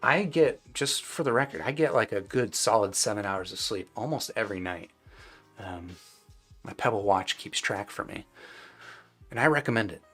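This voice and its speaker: A man's voice: fairly low pitch, animated, moderate pace, a bit bright, faint music behind. A man hosting a casual live stream, answering viewers in a conversational, hedging and self-deprecating way. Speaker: host of a live-streamed audio gear review. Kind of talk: casual livestream chat, reading and answering viewer questions.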